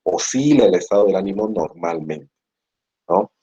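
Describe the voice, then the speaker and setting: A man's voice: low-pitched, expressive, moderate pace, warm tone, breathy edge. A man with a Peruvian Spanish accent giving a university lecture in an explanatory tone, often ending phrases with a tag question. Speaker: psychiatry lecturer. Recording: muffled lo-fi classroom recording.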